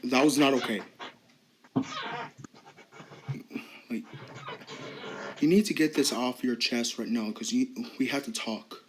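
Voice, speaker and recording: A man playing a gentle friend who speaks softly and soothingly, hesitating with small fillers. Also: mid-range voice, moderate pace, a little hiss or hum, natural voice, rough recording. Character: gentle supportive male friend. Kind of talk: amateur drama acting.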